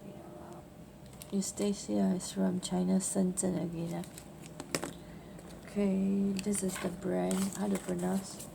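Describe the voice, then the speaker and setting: A woman in her late forties with a Singaporean accent, giving casual, halting unboxing commentary and ending phrases with "ah". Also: mid-range voice, level tone, gravelly. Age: late 40s. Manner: halting, with trailing "ah" particles. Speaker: vlogger. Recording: noisy amateur home recording.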